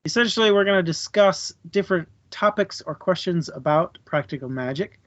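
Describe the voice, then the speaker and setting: A man co-hosting a book club livestream, chatting casually and jokily with his audience in an informal, halting way. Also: sits high, lively intonation, a bit bright, no room sound, dull audio. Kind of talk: casual chat to a live audience.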